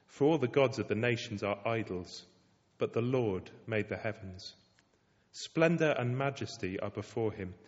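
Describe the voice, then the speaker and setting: Male scripture reader, measured and deliberate, mid pitch, dull audio in a reverberant hall. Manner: slow, measured reading aloud. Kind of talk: scripture reading.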